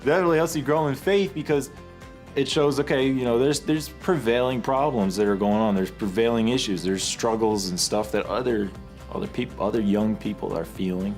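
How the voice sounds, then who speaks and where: A man's voice: mid-range voice, moderate pace. A twenty-six-year-old man giving an enthusiastic, emphatic testimonial about his church group. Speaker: church young adults group member. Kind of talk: testimonial interview. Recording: soft music underneath.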